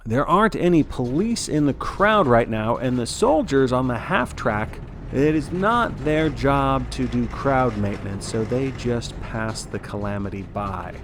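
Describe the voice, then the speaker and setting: A man's voice: medium pitch, expressive, measured pace, background noise. A man narrating a story as a sports broadcaster, in a theatrical play-by-play style with wry, folksy asides.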